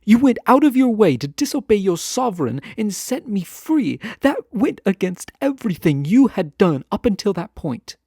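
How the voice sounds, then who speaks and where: A man playing a lovesick, proud fantasy ruler in a romantic audio drama, speaking formally, earnestly and with hesitant pauses. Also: high voice, very animated, darkish tone, no room sound, studio quality, exaggerated voice. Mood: exasperated, insistent. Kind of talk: scripted romantic audio roleplay, close and intimate.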